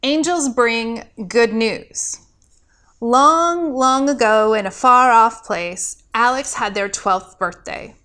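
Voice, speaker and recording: A woman's voice: fairly high pitch, slow pace, bright, forceful tone, clean tone. A woman, a pastor and host, reading a scripted story aloud as a storyteller.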